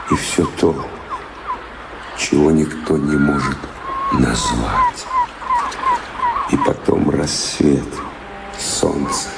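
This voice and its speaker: An actor playing a restless, passionate wanderer in a radio play, tormented by love and longing, with gravelly, poetic, declamatory delivery. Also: male voice, rumbling low, full character voice. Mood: rapturous, wistful. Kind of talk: theatrical radio drama acting. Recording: roomy echo, music underneath. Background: music.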